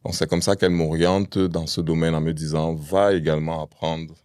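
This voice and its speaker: A man's voice: a bass voice, little pitch movement, normal speed, a little mellow, smooth, no echo, studio quality. A man in his thirties from Cameroon, a podcast guest speaking casually with many hesitant fillers. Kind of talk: casual interview.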